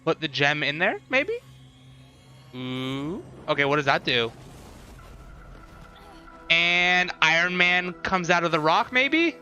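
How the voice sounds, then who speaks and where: Bright-voiced male streamer, musing casually. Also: fairly high pitch, sing-song, slow, long pauses. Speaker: live streamer. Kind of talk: casual livestream commentary and reaction. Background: game showcase trailer audio and music.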